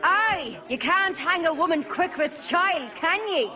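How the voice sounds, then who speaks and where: A woman's voice: very high voice, lively intonation, a little slow, a loud crowd, heavy background noise. A woman playing a brazen, defiant condemned pirate in a game, brash and taunting. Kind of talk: theatrical game cutscene acting.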